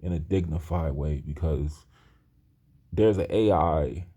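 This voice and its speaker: Guy in his 30s rambling slow, real low voice kinda husky, keeps restarting. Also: warm tone, muffled recording. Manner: hesitant, many restarts and fillers, earnest. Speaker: aspiring screenwriter talking to camera. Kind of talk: rambling personal monologue.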